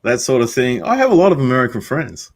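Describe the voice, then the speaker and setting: A man's voice: mid-range voice, big pitch swings, fast. A man hosting a casual livestream panel, easygoing and matey.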